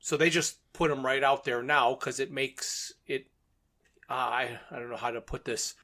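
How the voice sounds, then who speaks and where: A man's voice: medium pitch, fairly even intonation, moderate pace, bright, forceful tone. A man co-hosting a podcast, casually discussing and retelling a story in a conversational way. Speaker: podcast co-host.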